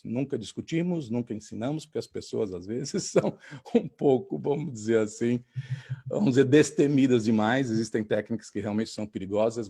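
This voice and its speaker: A man giving a calm, measured online talk, explaining techniques step by step. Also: medium pitch, slightly rough. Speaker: lecturer.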